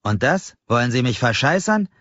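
A man's voice: mid-range voice, fairly quick, no echo, lo-fi sound, pitched above the performer's own voice, close to an everyday voice. A man voicing a short, stocky, pale middle-aged stranger who snaps at the listener with indignant contempt.

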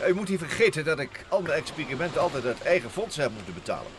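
An actor playing a fussy, hesitant professor and inventor in an old radio play. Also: male voice, on the high side, very animated, fast talker. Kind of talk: vintage Dutch radio play acting. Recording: old radio recording with noise.

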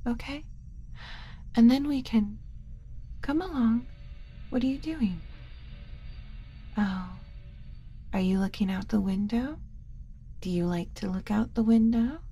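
Woman playing a slow, soft-spoken motherly alien. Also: mid-range voice, breathy edge. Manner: coaxing, slow, motherly, talking as if to a small child. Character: gentle doting female alien mothering a captured human. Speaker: ASMR roleplay performer. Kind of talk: ASMR roleplay, soft-spoken with frequent whispering.

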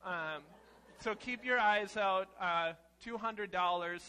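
A young man giving a playful, casual children's sermon in an animated, informal way, exclaiming as he goes. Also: high-pitched, slow, clear, resonant tone, poor audio. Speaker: children's message leader at church. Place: church sanctuary, seated on chancel steps among children.

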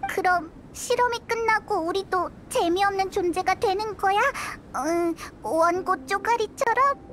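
Very high, childlike fairy sidekick. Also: female voice, unhurried, a little hiss or hum. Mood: puzzled. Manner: cute, childlike, expressive. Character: tiny childlike female fairy companion, curious and plucky. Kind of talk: scripted game dialogue. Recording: loud music behind the voice.

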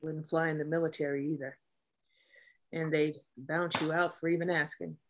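Woman acting a butch navy officer lady in a drama read, guarded and wry, keeps it dry and measured. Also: low voice, level tone, noisy background. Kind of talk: table read of a dramatic script.

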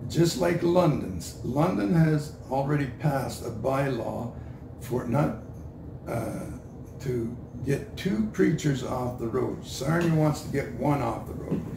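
An older man with a Canadian accent answering interview questions in a halting, deliberate way, often repeating himself. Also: medium pitch, creaky. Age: older, 60s or above. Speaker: street preacher being interviewed. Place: indoor room with a couch. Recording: roomy, distant mic, noisy.

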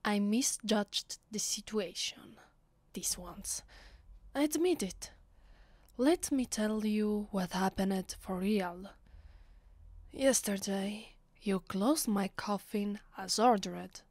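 A woman with an Italian accent voicing a haughty, commanding vampire mistress in a soft, often whispery ASMR roleplay, speaking with imperious deliberation. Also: mid-range voice, dry recording. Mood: grudging, haughty. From Italy. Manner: imperious, deliberate, with lingering pauses. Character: haughty, commanding female vampire mistress addressing mortal slave. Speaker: voice actress. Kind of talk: ASMR roleplay, breathy slow monologue.

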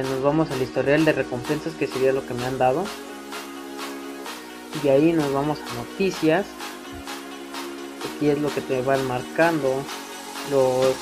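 A young man giving a casual, hesitant, improvised phone app tutorial in an informal way. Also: a little high. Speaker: tutorial video maker. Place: voice-over to a phone screen recording. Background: prominent background music.

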